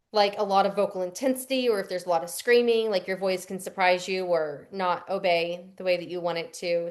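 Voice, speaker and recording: A young adult woman, a voice actress answering interview questions in a chatty, rambling way with fillers and restarts. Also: on the low side. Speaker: voice actress guest.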